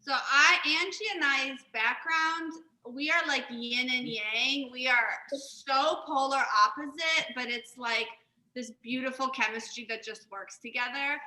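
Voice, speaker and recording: Bright-voiced woman telling her story. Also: sits high, a little slow, roomy sound, rough recording. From Uzbekistan, raised in Chicago. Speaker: guest, business co-founder. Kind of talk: interview storytelling.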